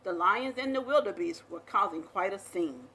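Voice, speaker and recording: A woman reading a children's picture book aloud as a storyteller, with expressive, dramatic delivery. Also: medium pitch, normal speed, slight background noise, poor audio.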